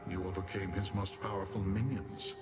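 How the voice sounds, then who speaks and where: An actor playing an honorable warrior in a fantasy video game, speaking in a formal, measured way. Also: male voice, deep voice, level tone, lightly acted. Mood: reassuring. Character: honorable male warrior ally, formal, steady and reassuring. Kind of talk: video game character acting. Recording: music behind.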